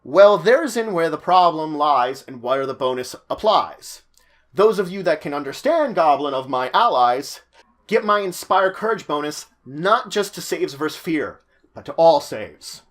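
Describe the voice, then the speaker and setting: A man's voice: fairly high pitch, expressive, a little slow. A man hosting an informal tabletop roleplaying guide, talking to viewers in a chatty, emphatic way that stresses key words. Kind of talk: semi-scripted informal explainer to viewers.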